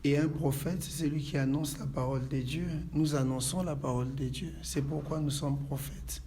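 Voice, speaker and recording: A man from Congo who leads a church, answering calmly and praying in a measured, preacherly way. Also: medium pitch, slight background noise. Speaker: pastor. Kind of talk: calm interview answers and prayer. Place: inside a church.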